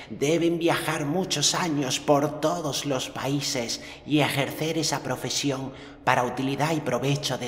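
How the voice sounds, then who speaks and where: A man's voice: mid-range voice, fairly bright tone, echoey room, long reverb tail, voice pushed up, natural voice. A man voicing a courteous old male town elder who speaks in a formal, ceremonious way. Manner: formal, ceremonious, slow deliberate phrasing.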